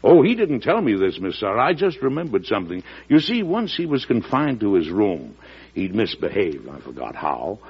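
An actor with an American accent playing a shrewd, genial, avuncular president in an old-time radio drama, in a deliberate storytelling manner. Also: male voice, on the low side, wildly swooping pitch, breathy edge, full character voice. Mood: wry, confiding. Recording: dull lo-fi vintage radio broadcast.

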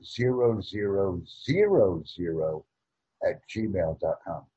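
An American man hosting a YouTube channel, speaking formally and deliberately with careful enunciation on a video call. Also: deep voice, lively intonation, breathy edge, highs cut off. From United States.

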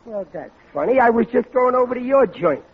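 A man's voice: extremely high pitch, expressive, brisk. An actor playing a blustering, thickheaded American husband and father who speaks in folksy bad grammar, in 1940s radio sitcom style. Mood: chummy, evasive. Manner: folksy, mangled grammar, indignant. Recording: dull, noisy old radio recording.

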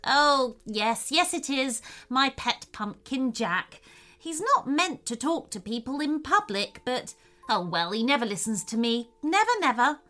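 Woman as old storybook witch, kindly, flustered, chatty, animated pitch, bright tone. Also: a little slow, faint music behind, full character voice. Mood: flustered, fussy. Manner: exclamatory, fussy, repeats herself.

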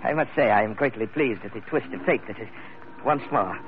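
An actor playing a huge, fussy, pompous schemer in an old radio drama, with mincing, hesitant, over-formal speech and a plummy British character-actor sound. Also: male voice, medium pitch, brisk, noisy background, natural voice. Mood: fussy, self-satisfied. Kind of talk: 1940s radio acting. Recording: tinny vintage radio broadcast, music.

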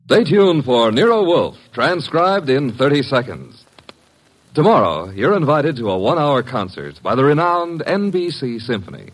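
A man's voice: medium pitch, big pitch swings, moderate pace. A man announcing in grand, emphatic, scripted mid-century radio style on an old broadcast recording. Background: music.

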